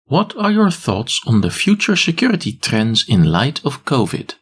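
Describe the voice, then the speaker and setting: A man's voice: medium pitch, huge pitch swings, normal speed, husky. A man acting as moderator, reading out submitted questions to a speaker.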